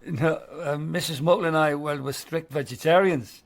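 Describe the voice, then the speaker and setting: An actor playing an affable, flustered chief constable with a Northern English accent, hesitant and polite, in a radio drama. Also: male voice, a little high, moderate pace, close to an everyday voice. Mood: awkward, apologetic. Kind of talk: BBC radio drama acting.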